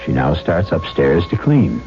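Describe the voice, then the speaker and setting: A grave, measured storyteller of 1970s radio mystery. His delivery is portentous and swings dramatically in pitch. The old broadcast sounds dull, with music clearly under him. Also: sing-song, exaggerated voice. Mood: ominous, dramatic.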